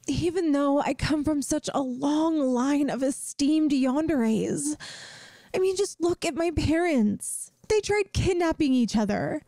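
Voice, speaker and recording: A woman's voice: high-pitched, warm tone, no room sound, studio quality, heavily acted. A woman playing a bubbly, inept, lovestruck young yandere student in an audio roleplay, rambling and pleading dramatically with the listener. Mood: sheepish, gushing. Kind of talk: scripted ASMR audio roleplay, addressing the listener.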